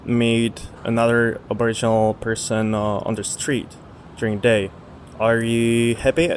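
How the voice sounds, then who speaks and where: A male interviewer puts questions to a guest, pausing often with fillers between phrases. His voice sits at an ordinary middle pitch. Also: level tone, a little hiss or hum. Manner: asks questions with many hesitations.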